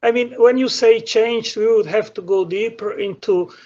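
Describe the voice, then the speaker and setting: A man with a notably high voice calls in to a debate. His answers come hesitantly, full of restarts and fillers. The call audio is muffled and dull. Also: normal speed, darkish tone. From Switzerland. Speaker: caller.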